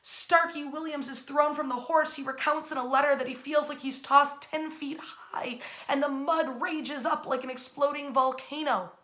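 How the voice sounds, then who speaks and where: An American woman narrating history as a dramatic story, with emphatic, theatrical phrasing and deliberate pauses. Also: sits high, steady tone, bright, forceful tone.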